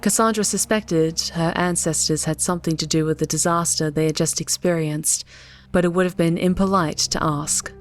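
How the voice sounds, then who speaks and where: A woman narrates an audiobook story in a scripted reading style. Her voice sits a little low, with soft music underneath. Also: steady tone, normal speed.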